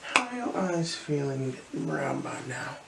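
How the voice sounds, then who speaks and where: A gentle, unhurried ASMR performer speaking softly and slipping into whispers while role-playing an eye examiner. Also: medium pitch, very animated. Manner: gentle, unhurried, soothing instructions. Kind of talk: ASMR role-play, soft-spoken with whispers. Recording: clear background noise.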